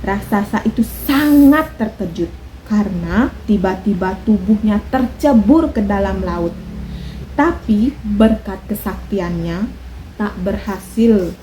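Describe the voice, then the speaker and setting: A woman telling a children's folk tale in a slow, expressive storytelling manner. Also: medium pitch, a little hiss or hum, rough recording.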